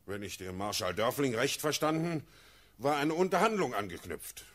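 Husky, earnest officer messenger. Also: male voice, medium pitch, very animated, moderate pace, a bit bright. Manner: dramatic, formal verse narration. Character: grave male officer bearing news. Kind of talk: German classical radio drama.

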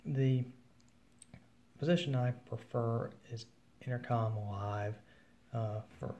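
A man giving an informal, matter-of-fact instructional walkthrough of equipment, with hesitant pauses. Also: mid-range voice, gravelly, dark tone, slight background noise. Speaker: presenter of an equipment demo. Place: indoors at a wooden table at home.

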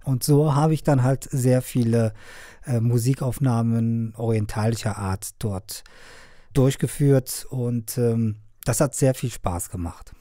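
A middle-aged man from Afghanistan speaks in an unhurried, conversational way. He often pauses and hesitates with fillers. His tone is mellow and his voice sounds dry and close, as if recorded in a studio. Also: medium pitch, dry recording. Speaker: sound engineer and media trainer. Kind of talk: conversational interview answers.

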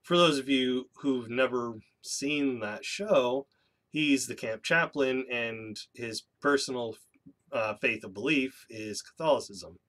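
A man's voice: medium pitch, fairly bright tone. Slightly nasal man with a cold. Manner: candid and measured, with dry self-deprecating asides. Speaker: YouTube channel host. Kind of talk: personal vlog monologue. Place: small home room with bookshelves, indoors. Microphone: webcam in front of a seated speaker.

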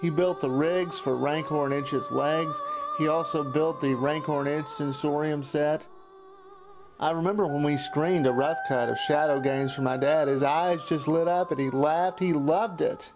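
A man's voice: on the high side, fairly even intonation, normal speed, music in the background. A man narrating a scripted look back as a filmmaker.

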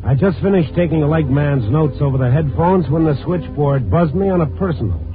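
An actor playing a hard-boiled, poetic newspaper reporter who narrates a 1950s radio drama in a terse, brooding way. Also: male voice, a little high, fairly quick, slight background noise, natural voice. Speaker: narrator and lead of a radio series. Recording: muffled old radio broadcast.

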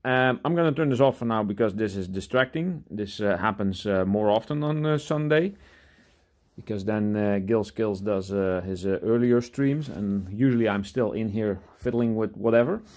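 A man talking casually through a hands-on demonstration in a workshop, halting and thinking aloud as he goes. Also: on the low side, a little mellow. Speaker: hobby scrapper presenting his own video. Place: indoor cluttered workshop.

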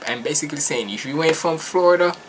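A young man from Jamaica giving casual, animated and slangy commentary as he reacts to music at home. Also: on the high side, fast talker, a little hiss or hum. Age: young adult. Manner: animated, slangy, emphatic, repetitive. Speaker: reaction video host. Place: small furnished room at home.

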